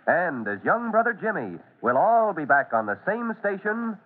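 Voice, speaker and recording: A man's voice: sits high, very animated, moderate pace, narrow, tinny audio. A man narrating an old-time radio serial as its announcer, with urgent, emphatic, theatrical delivery. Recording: thin, band-limited old radio recording.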